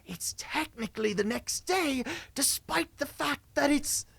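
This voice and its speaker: An actress playing a rambling, muddled fantasy guide in a semi-improvised comic audio drama, chatty and giggly. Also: female voice, sits high, animated, unhurried, vocal fry, fairly bright tone, heavily acted.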